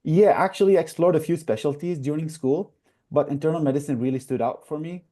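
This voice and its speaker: A man's voice: fairly high pitch, brisk, mellow. A young man from Iraq, a new resident doctor, answers interview questions earnestly, with frequent fillers. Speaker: guest, newly matched resident.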